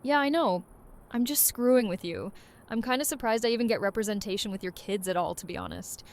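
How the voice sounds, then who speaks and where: Wry, blunt female friend acted by a woman, higher-pitched. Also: a little fast, natural voice. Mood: wry teasing.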